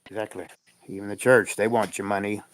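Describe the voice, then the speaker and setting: Low-voiced man, blunt and emphatic. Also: flat delivery, a little fast, poor audio. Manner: blunt, emphatic, laughs through his points. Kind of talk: casual livestream chat.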